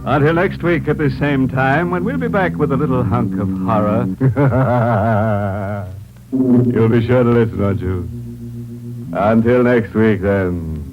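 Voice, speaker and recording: An actor playing a sardonic horror-show host who closes the program with sly puns and chuckles. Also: male voice, medium pitch, slow, lo-fi sound, over music, natural voice. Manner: sly, punning, chuckling delivery. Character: ghoulish male horror host, sardonic and mocking. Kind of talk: 1950s radio horror hosting.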